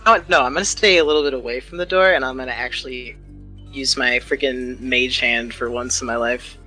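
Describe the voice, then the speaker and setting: A man with a bright, sharp voice plays a tabletop game. He talks informally, thinking aloud, with music behind him. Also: mid-range voice, steady tone, fairly quick, poor audio. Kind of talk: casual tabletop roleplay.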